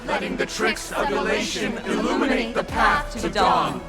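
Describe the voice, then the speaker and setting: Very high-pitched fervent worshipper. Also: male voice, expressive, normal speed. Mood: exalted. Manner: exalted, declamatory, liturgical. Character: fervent worshipper chanting hymns of praise to heroes. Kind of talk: theatrical video game oration. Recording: loud music behind the voice.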